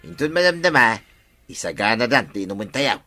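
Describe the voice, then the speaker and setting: A man's voice: mid-range voice, big pitch swings, brisk, bright, forceful tone, soft background music. An actor with an Ilocano accent playing a village captain's chatty male buddy in a comedy radio drama.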